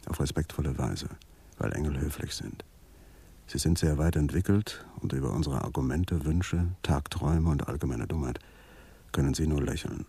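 An actor playing a world-weary, resigned man in a measured radio drama monologue. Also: a bass voice, warm tone, breathy edge, no echo, heavily acted. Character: world-weary man voicing his suicidal letter.

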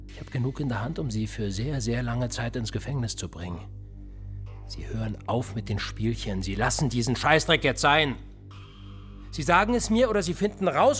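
An actor playing a cold, sardonic police interrogator in a radio play, calm and mocking with a menacing edge and a husky voice. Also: male voice, mid-range voice. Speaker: police officer.

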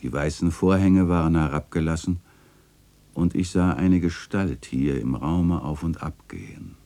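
An actor playing a wistful old chronicler, narrating a literary radio play in a measured, lyrical and contemplative way, with a husky voice. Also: male voice, warm tone. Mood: mournful, wistful. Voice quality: very deep, husky. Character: wistful old male chronicler reminiscing about his beloved alley.

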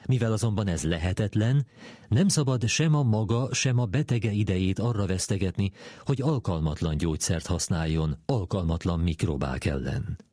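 A man reading the words of a measured, matter-of-fact scientist in a scripted documentary quotation. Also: low voice, warm tone, no room sound, clean studio sound. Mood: sober, cautionary. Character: male scientist, measured and matter-of-fact, explaining his discovery.

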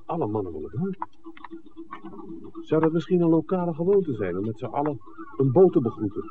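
A man's voice: a little high, sing-song, a little fast. A man's voice with a hugely varied, swooping melody, playing an alert, discreet visitor to a village in an old radio drama. The recording is tinny and narrow, with slight noise. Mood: observant, puzzled. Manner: conversational, alert, speculating. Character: observant male film-maker, discreet outsider in village. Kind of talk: 1960s radio play acting.

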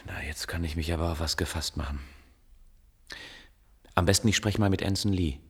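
Low-voiced, beleaguered inventor. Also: male voice, fairly quick, slight background noise. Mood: gentle, resigned. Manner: agitated, swinging from hushed resignation to loud protest. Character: beleaguered male biologist inventor, exasperated but kind. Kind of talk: German radio play acting.

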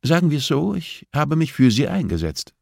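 Man voicing a composed, firm woman therapist, lightly raised pitch. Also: big pitch swings, creaky, darkish tone, no room sound, clean studio sound, full character voice. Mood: composed, assuring. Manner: polite, measured, insistent. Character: female prison therapist, composed, firm and probing. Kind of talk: audiobook character dialogue.

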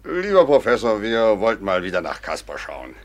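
An actor playing a warm-hearted, outspoken town mayor in a radio play, speaking earnestly. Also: male voice, lowish voice, huge pitch swings, normal speed. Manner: earnest, indignant in defending a victim.

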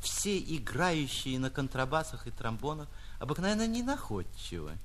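A man's voice: medium pitch, big pitch swings, moderate pace, a little hiss or hum. A male actor reads a classic story for radio with dramatic flair. His intonation rises and falls widely, and he tells it in a mock-grandiose, theatrical manner. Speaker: actor reading a short story. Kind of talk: theatrical literary audiobook narration for radio.

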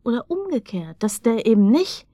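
An actress playing a shrewd, casual police colleague in a radio drama, speaking in a colloquial, speculative way. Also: female voice, a little high, very animated, moderate pace, mellow, dry recording, studio quality. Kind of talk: naturalistic German radio drama acting.

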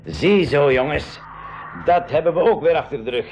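An actor playing a concerned, commanding professor uncle in a radio drama. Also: male voice, a little high, animated, normal speed, close to an everyday voice. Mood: relieved, watchful. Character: adult professor uncle, commanding, concerned leader of young adventurers. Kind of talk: Dutch-language 1980s radio play acting. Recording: dull, noisy old radio recording.

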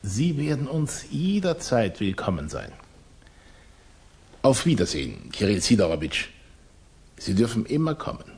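An actor playing a paternal, self-important revolutionary leader in a 1960s German radio play, unctuous and commanding. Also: male voice, medium pitch, huge pitch swings, measured pace, pauses often, darkish tone, slightly rough, exaggerated voice. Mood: insistent.

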